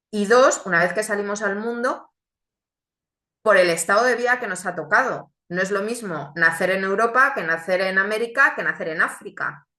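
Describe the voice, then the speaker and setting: A woman, born in Chile, giving an earnest, reflective religious testimony with deliberate pauses. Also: fairly low pitch, bright, forceful tone, echoey room. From Chile, raised in Spain.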